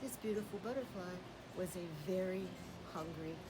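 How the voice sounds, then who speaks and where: A woman reading a children's story aloud as a naturalist, with simple expressive phrasing and asides that put questions to young listeners. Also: on the low side, normal speed, rough recording. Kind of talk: children's story time read-aloud with show-and-tell asides.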